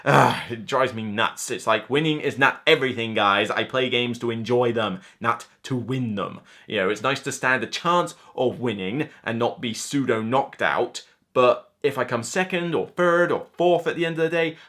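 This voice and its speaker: A man's voice: mid-range voice, normal speed, hardly any pauses, fairly bright tone. Chatty, emphatic man reviewing board games. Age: 30s. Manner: chatty, emphatic, acts out imagined players' reactions. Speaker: board game reviewer and channel host. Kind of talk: casual unscripted countdown review to camera. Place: small indoor room lined with shelves of games.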